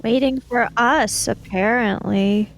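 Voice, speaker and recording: A woman's voice: fairly high pitch, fairly even intonation, slow pace, vocal fry, soft background music. A woman playing a blunt, moral young hero in a tabletop roleplay, plain-spoken and dry. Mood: dry, unimpressed. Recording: faint background noise.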